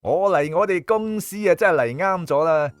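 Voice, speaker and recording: A man playing a shameless, easygoing streetwise job applicant in a comic audio drama, effusive and flattering. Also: fairly high pitch, soft background music, lightly acted. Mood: gushing flattery. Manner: effusive, flattering, drawn-out exclamations.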